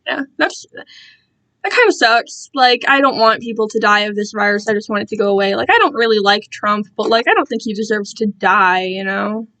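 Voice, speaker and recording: A young woman chatting casually on a livestream in a rambling, animated way, often interrupting herself. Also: mid-range voice, brisk, rough recording. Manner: animated, self-interrupting, quotes herself and others dramatically. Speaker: livestream host and amateur fan fiction reader.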